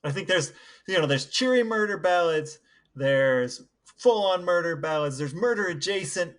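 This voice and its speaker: A man's voice: fairly high pitch, lively intonation, moderate pace. A man co-hosting a podcast, chatting casually and asking playful questions in a wry, mock-serious way. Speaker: podcast co-host. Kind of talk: casual chat and playful interviewing.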